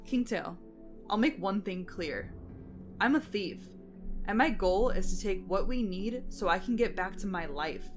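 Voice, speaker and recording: A haughty female canine thief, acted by a woman in a fantasy audio story. She is sardonic, scornful and impatient, with a mid-pitched voice over faint music. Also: lively intonation, measured pace, close to an everyday voice. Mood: irritated, firm. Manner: scornful, mocking.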